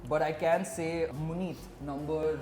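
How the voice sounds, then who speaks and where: A man's voice: on the high side, fairly even intonation, measured pace. A man, hosting a panel show in a casual, joking way, swearing and laughing often. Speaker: panel host. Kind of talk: casual banter. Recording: echoey room with music behind.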